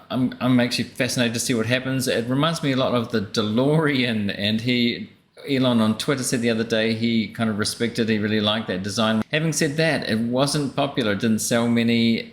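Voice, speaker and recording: A man's voice: mid-range voice, fairly bright tone. A man hosting a reaction show, giving casual, conversational commentary and repeating key points. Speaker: host reacting to earnings call clips. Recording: echoey room, clear background noise.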